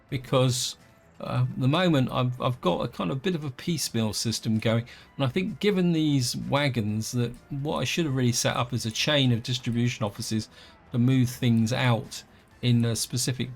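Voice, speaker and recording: A man talks through his game in a loose, thinking-aloud way, often correcting himself mid-sentence. His voice is gravelly and slightly wheezy from an allergic reaction. Soft music plays faintly underneath. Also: medium pitch. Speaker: let's play host. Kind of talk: casual gameplay commentary.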